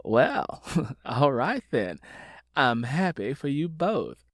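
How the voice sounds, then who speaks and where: A man's voice: mid-range voice, big pitch swings, takes it slowly, no echo, clean studio sound, full character voice. A man voicing a nosy, good-natured woman neighbor in an audiobook, with a breezy, chatty manner. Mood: amused, pleased.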